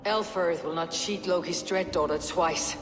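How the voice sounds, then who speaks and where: A woman's voice: lowish voice, unhurried, natural voice. An actress playing a stern, sardonic female Viking warrior in a game, with a terse, dry delivery. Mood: wry, hard. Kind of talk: dramatic game dialogue. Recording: long hall reverb, music behind.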